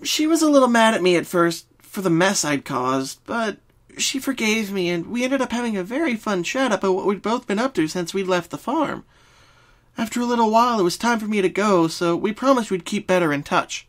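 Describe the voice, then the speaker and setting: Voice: mid-range voice, lively intonation, brisk, pitched above the performer's own voice, close to an everyday voice. A performer voicing a young female pink pony, sweet and earnest, with a timid, hesitant manner, in a story reading. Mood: recalling, earnest.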